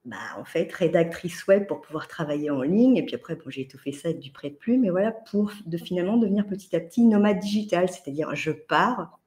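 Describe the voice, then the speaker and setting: French lady in her 50s, guest on a chill podcast, rambles with restarts, gets excited telling a story. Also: fairly low pitch, a little fast. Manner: animated storytelling, many restarts and fillers. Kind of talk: casual podcast chat.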